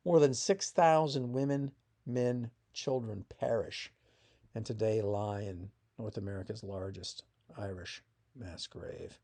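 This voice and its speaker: A man narrating a scripted piece of family history as its author, in a measured reading with emphatic phrase openings that fall away. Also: fairly low pitch, creaky, dark tone. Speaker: author reading own essay.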